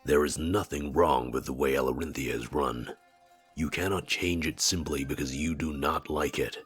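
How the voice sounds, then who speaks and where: A man voicing a stern, aloof non-human war advisor in a visual novel game, speaking formally and with measured gravity. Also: very deep voice, a bit bright, slightly rough, soft background music, heavily acted. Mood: grave, reproving. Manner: formal, measured, solemn speech without contractions.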